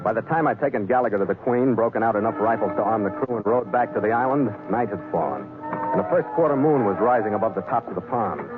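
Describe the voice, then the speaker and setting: A man's voice: on the low side, lively intonation, fast, close to an everyday voice. A man playing a tough, wary sea captain who narrates and acts in a 1940s radio adventure in a terse, wry manner. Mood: tense, determined. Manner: terse, hard-boiled, wry. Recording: narrow old 1940s radio recording, music.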